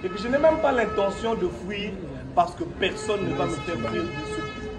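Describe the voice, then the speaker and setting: A man's voice: high-pitched, expressive, moderate pace, loud music behind the voice. A man with a Congolese accent giving an improvised press statement in a defiant, emphatic manner, repeating himself and asking rhetorical questions. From Democratic Republic of the Congo. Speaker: speaker at his own press conference. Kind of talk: press statement mixing French and Lingala, partly improvised. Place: echoey room at his own premises. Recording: roomy, distant microphone, noisy background.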